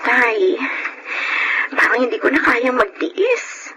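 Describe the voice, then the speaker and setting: Worn-out young pinay wife in a radio drama, high pleading emotional voice, bit of noise. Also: female voice, sing-song, slow pace, sharp tone, full character voice, rough recording. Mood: distressed. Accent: Filipino. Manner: emotional, plaintive. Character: weary, frustrated young wife and mother. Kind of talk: Filipino radio drama acting.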